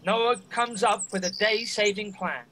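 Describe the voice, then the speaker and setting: Teenage male narrator, high-pitched, scripted radio-play storytelling, noisy background. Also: a little slow, pushed and bright. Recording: clearly noisy background ambience.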